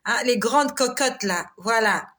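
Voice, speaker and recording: Bright-voiced woman in her forties. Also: fairly high pitch, measured pace. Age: 40s. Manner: exhorting, laughs often, chatty. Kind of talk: casual live devotional talk reading viewer comments.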